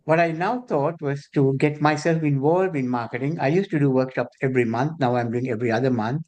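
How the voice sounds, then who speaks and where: Sri man giving a steady introduction. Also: medium pitch, brisk. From Sri Lanka. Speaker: therapist and trainer introducing himself. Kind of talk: self-introduction on a group call.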